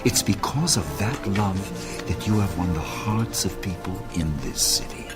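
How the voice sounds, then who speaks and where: An actor playing an earnest, courteous school leader in an audio drama, formal, measured and gravely persuasive. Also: male voice, low-pitched, animated. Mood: grave, urgent. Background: music.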